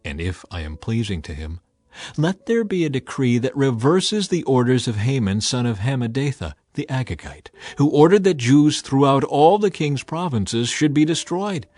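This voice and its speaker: A man's voice: medium pitch, big pitch swings, normal speed, a little mellow, no room sound. A man voicing a humble queen who pleads deferentially for her people in a dramatized Bible reading. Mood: pleading.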